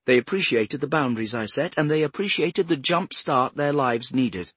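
A man's voice: mid-range voice, lively intonation, moderate pace, fairly bright tone, breathy edge, no echo, voice pushed up. A man narrating an audiobook as a prim, self-righteous older woman with a cultured English accent. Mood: indignant, self-righteous. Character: cultured, haughty retired care-home matron, a grandmother.

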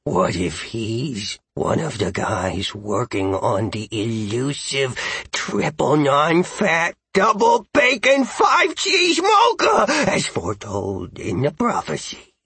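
A man performs a playful, chatty dog telling his own story in an audiobook. The voice is bright and forceful, very animated and rough-edged. It is recorded close and dry, with an exuberant, goofy delivery. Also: medium pitch, measured pace, no echo, exaggerated voice. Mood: playful teasing. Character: excitable, playful male dog narrating his adventures. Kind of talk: first-person audiobook narration.

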